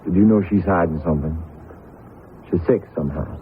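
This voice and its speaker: Low, earnest young gentle giant played by an actor. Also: male voice, brisk, close to an everyday voice. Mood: grave, insistent. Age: young adult. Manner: earnest, modest, brooding. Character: earnest, modest giant young basketball star son. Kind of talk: old-time radio drama acting. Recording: tinny, hissy radio drama recording.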